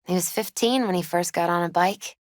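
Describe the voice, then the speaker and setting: A woman playing a gentle, grieving woman in her twenties in a video game, subdued, hesitant and wistful in naturalistic dialogue. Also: fairly low pitch, brisk, dry recording, studio quality, lightly acted. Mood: fond, reminiscing. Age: 20s.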